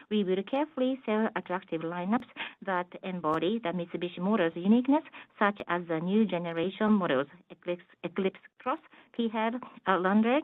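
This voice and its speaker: Low-voiced woman on a phone-like line. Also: no room sound. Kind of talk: formal financial presentation read aloud. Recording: tinny, dry phone-like line.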